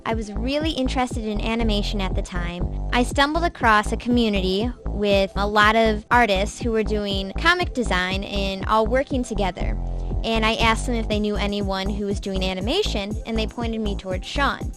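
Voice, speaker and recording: A woman's voice: mid-range voice, moderate pace. A woman telling her own story as a voice actress being interviewed. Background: background music.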